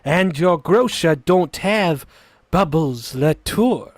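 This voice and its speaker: A man's voice: fairly high pitch, lively intonation, takes it slowly, dry recording. A man playing a sly, polite nightclub waiter. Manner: polite, sly salesman patter. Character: smooth, upselling nightclub waiter.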